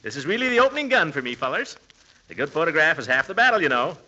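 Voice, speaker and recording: Pompous middle-aged American uncle, flustered, blustery, piercingly bright tone, old radio comedy, muffled recording. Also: male voice, on the high side, big pitch swings, quick pace, heavily acted. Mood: self-important. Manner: blustery, exasperated. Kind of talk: 1940s radio comedy acting.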